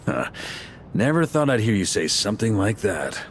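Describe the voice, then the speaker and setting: Low-voiced, stern knight commander. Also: male voice, very animated, normal speed, soft background music. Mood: wry. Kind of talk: dramatic game voice acting.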